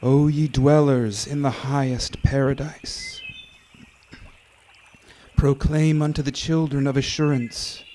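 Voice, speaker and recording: A man giving a solemn, measured dramatic reading of scripture. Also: medium pitch, mellow, a little hiss or hum. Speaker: reader.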